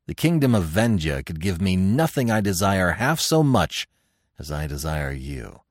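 A man playing a fierce, proud barbarian warrior chief in an audiobook adventure, gruff, growling and sardonic. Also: low voice, sing-song, moderate pace, no echo, clean studio sound. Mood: grim, roughly ardent.

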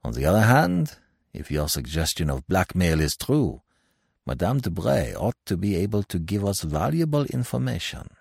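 Man acting a low, courteous French magistrate. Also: sing-song, gravelly, darkish tone, husky, dry recording, clean studio sound, heavily acted. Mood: considered, conciliatory. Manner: formal, deliberate, polite. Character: courteous, measured French male examining magistrate. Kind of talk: acted dialogue in audiobook mystery.

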